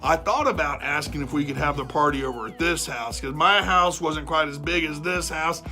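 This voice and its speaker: A storyteller plays an aggrieved big bad wolf in a children's storybook tale. The voice is bright and forceful, expressive and full of exasperated repetition as he insists he meant well. Music plays along with it. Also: mid-range voice, normal speed. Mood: aggrieved, explaining. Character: aggrieved, self-justifying big bad wolf, telling his side. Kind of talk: animated first-person storytelling for children.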